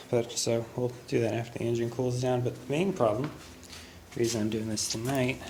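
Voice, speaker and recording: Man, monotone, mid-pitched, hesitant, casual DIY repair vlog, mild background noise. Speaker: car owner narrating his own repair log.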